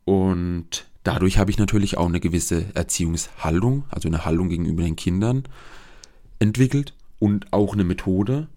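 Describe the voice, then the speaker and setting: A man's voice: deep voice, moderate pace, mellow. A young man in his twenties with a regional dialect, hosting his own podcast in an earnest, hesitant monologue. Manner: earnest and searching, with frequent hesitations and restarts. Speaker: podcast host, social worker.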